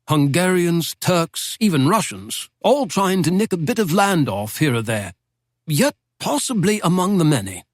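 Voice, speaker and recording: A man reads as a chatty older English professor, witty and exact, his voice lifting into a fairly high register and leaping about with animated inflection. The sound is close and dry, with no room around it. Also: slightly rough, no echo. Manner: lively, playful, precise diction. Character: genial older male Oxford professor, witty and talkative.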